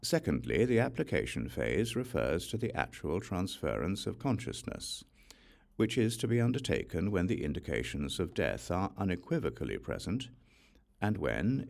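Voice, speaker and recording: A man reads sacred instructional text in a low, creaky voice. His delivery is formal and measured, yet the intonation moves in lively rises and falls. It is scripted audiobook narration. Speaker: audiobook reader.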